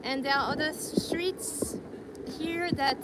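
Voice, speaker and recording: A woman walking outdoors on busy streets, chatting casually on a live stream and often pausing to search for words. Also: sits high. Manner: hesitant, searching for words, light laughs. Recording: loud street noise.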